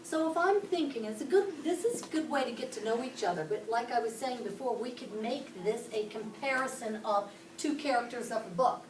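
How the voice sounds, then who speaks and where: A woman from Pittsburgh teaches a class, walking through each step and putting questions to the children. Her voice sits a little high. She is picked up from across an echoey classroom with steady background noise. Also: brisk, distant mic. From Pittsburgh, Pennsylvania. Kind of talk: classroom instruction. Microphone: camera across the room.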